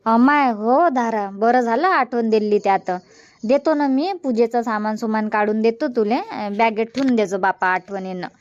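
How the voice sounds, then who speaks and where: A woman's voice: fairly high pitch, normal speed, no echo, muffled recording, lightly acted. A woman voicing a homely older village mother-in-law in a cartoon comedy, chatty and instructing, with a Varhadi Marathi accent. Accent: Varhadi Marathi from Vidarbha. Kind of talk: amateur cartoon comedy dubbing in village dialect.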